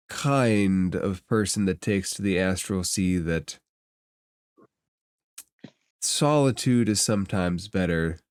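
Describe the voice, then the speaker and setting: A man voicing a courteous, enigmatic stranger in a tabletop space fantasy game, formal, unhurried and ingratiating. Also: fairly low pitch, a little mellow, clear, resonant tone, dry recording, clean studio sound, pitched below the performer's own voice.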